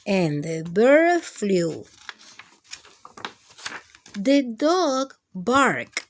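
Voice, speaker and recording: A woman's voice: medium pitch, big pitch swings, drawn-out words, a little hiss or hum. A woman reading picture books aloud to young children, speaking expressively, drawing out words and addressing the little listeners directly. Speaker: children's storytime host and reader.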